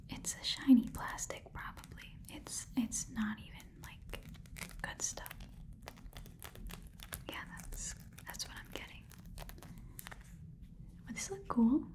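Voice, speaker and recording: A woman's voice: a little high, mellow. A woman playing a chatty, nosy goblin pawn shop keeper in a whispered ASMR roleplay, rambling casually and muttering repeated words. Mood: casual appraising.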